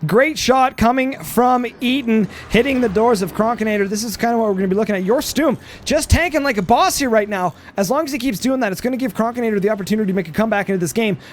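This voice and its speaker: Hoarse, high-voiced male game caster. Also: fast, hardly any pauses, slight background noise. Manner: energetic, rambling, many fillers and restarts. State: hoarse, losing his voice. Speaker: game commentator. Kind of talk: live play-by-play commentary.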